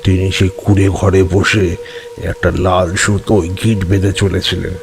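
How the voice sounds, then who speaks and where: Slow, low-voiced old tantric storyteller acted by a man. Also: level tone, background noise. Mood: measured, reminiscent. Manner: deliberate, measured storytelling. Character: old male tantric recounting eerie memories of youth. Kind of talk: dramatised audio horror storytelling.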